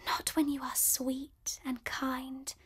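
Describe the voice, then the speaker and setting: A woman voicing a soft, earnest storybook heroine. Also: high voice, expressive, a little slow, slightly rough, full character voice. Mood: tender. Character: young gentle heroine, kind and earnest.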